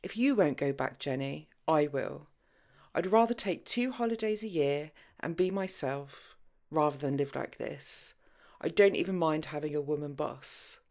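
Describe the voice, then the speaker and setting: A woman's voice: deep voice, unhurried, darkish tone. A woman voicing a theatrical, grandiose man with a mock-pompous, declamatory manner in an audiobook. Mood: bitter, yearning. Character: theatrical, grandiose, tipsy man yearning for freedom.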